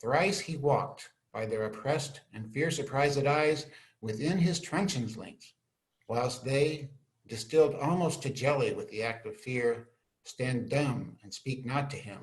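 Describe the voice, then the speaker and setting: An earnest young scholar and loyal friend to a prince, read by an amateur male actor, speaking in a mid-range voice. He delivers Shakespearean verse in a measured, respectful way, as in a staged reading. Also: medium pitch, natural voice, low-quality recording. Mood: grave, narrating. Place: indoors, on a video call. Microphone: video call.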